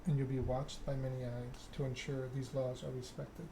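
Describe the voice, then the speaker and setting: A man voicing a menacing, formal vampire enforcer from a video game, speaking in a measured monotone. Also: medium pitch, noisy background, natural voice. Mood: cold, measured. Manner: measured monotone recitation, veiled threats. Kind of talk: video game dialogue read aloud.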